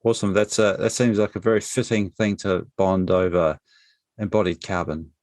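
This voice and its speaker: A man's voice: low voice, level tone, moderate pace, darkish tone, no echo, studio quality. A man hosting a podcast, easygoing and upbeat as he moves the talk along. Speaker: podcast host.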